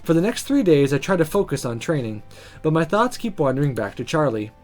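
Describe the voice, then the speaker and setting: Expressive woman narrating a visual novel. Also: low-pitched, fairly quick. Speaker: gaming video host reading a visual novel aloud. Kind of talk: expressive storybook-like narration and casual commentary. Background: faint music.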